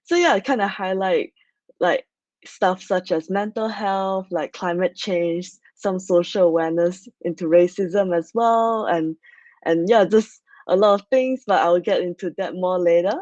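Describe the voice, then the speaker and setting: A young woman from Malaysia presents at an online conference in a loose, chatty way, leaning on "so yeah" and "like". Her voice sits a little low. The audio is dry and a bit muffled, as from a computer mic on a video call. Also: a little slow, darkish tone, no echo. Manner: chatty, many fillers like "like" and "so yeah". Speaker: conference speaker.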